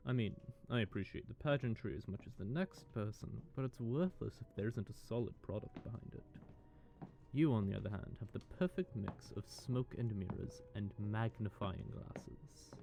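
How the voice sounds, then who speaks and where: Man playing a creaky-voiced, smug male vampire. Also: fairly low pitch, lively intonation, normal speed, mellow. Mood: polished, charming. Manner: teasing, drawling, conversational taunting. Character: smug, sardonic male vampire gloating over a captive hunter. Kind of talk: scripted audio roleplay monologue addressed to the listener. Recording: background music under the voice.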